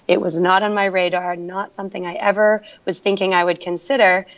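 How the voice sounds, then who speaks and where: A woman's voice: lowish voice, flat delivery, a little fast. A woman working as a teacher, telling her story earnestly in a podcast interview. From Canada. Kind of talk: conversational interview. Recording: dull lo-fi remote audio.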